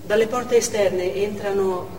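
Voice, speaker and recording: Woman survivor played by a stage actress, shaken, measured dramatic monologue, distant mic in a noisy reverberant hall. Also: mid-range voice, fairly even intonation, close to an everyday voice. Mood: tense, dread. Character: shaken woman survivor recounting a theatre hostage siege. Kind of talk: theatrical monologue, first-person narration on stage. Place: theatre stage, distant microphone. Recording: echoey theatre hall from far off, noisy.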